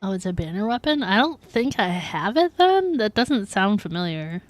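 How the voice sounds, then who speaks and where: A woman's voice: medium pitch, very animated, moderate pace, dry recording. A woman livestreaming a game, reacting casually and chatting informally with her viewers. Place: livestream, game audio behind.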